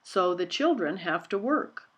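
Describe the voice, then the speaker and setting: A woman voicing a dignified, determined elderly female campaigner in a storybook, speaking in measured, earnest statements. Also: on the low side, lively intonation, fairly bright tone. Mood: earnest explaining.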